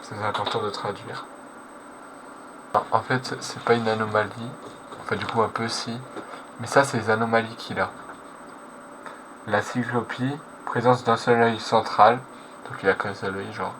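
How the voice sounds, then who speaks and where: A man's voice: lowish voice, fairly even intonation, unhurried, pauses often. A man giving casual, slangy reaction commentary, hesitating and correcting himself as he goes. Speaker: reaction video host. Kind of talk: casual live reaction commentary, translating on the fly. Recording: clearly noisy background.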